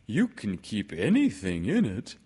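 Man voicing an earnest, slow-talking storybook bear. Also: on the low side, huge pitch swings, mellow, husky, heavily acted. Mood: earnest, hesitant. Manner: slow, earnest, simple-minded delivery. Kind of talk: storybook character voice in a read-aloud.

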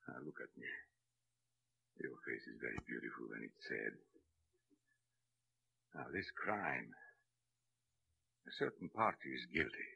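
An actor playing a grave, knowing, protective man who speaks in a measured, brooding way in a crime drama. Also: very deep voice, gravelly, sharp tone, breathy edge, heavily acted. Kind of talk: dramatic film-noir crime acting.

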